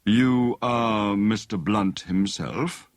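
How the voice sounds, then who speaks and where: A man voicing a kind, worried elderly doctor in an audiobook, speaking in a formal, deliberate, clinical way. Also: on the low side, dry recording, studio quality. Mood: grave, measured.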